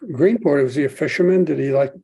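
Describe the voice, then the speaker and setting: A man hosting a podcast, asking questions in a halting way with many pauses and "um"s. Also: a little high, creaky, mellow, breathy edge. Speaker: podcast host, interviewer. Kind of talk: interview questions.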